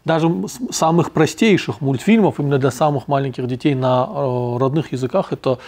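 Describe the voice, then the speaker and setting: A man from North Ossetia hosting a conversational podcast, speaking thoughtfully with frequent pauses and fillers. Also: mid-range voice, a little mellow. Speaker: podcast host.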